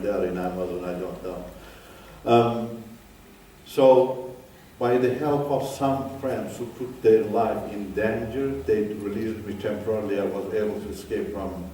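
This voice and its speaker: A man's voice: medium pitch, warm tone, distant mic, a little hiss or hum. Older man, echoey hall. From Iran. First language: Turkish. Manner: emphatic, in short halting phrases. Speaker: guest speaker. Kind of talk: personal testimony talk. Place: church hall.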